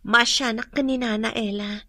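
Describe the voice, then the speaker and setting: A woman's voice: on the high side, steady tone, normal speed, sharp tone, no echo. A woman with an Ilocano accent acting an obliging young woman in a radio drama. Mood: curious, coaxing.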